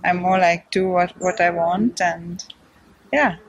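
A woman's voice: on the low side, steady tone, slow pace, dry recording, muffled recording. A young woman with a Swedish accent, talking casually with many hesitations and light laughs in an interview. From Sweden. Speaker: interviewed trail runner. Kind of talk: casual post-race interview.

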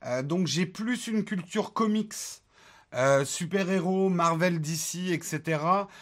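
A man's voice: sits high, unhurried. A man hosting a casual tech livestream, chatting loosely with many fillers and joking asides as he answers viewer questions; his first language is English, though he was born in France and grew up in the United States. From France, raised in the United States. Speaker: tech channel host answering live chat questions.

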